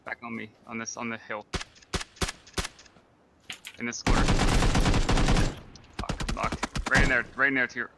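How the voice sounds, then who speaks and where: A man's voice: mid-range voice, flat delivery, a little slow, creaky, pauses often. A man giving short, casual callouts to his teammates during an online shooter game. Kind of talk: casual, brief gaming callouts. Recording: very noisy game chat, clicks and gunfire.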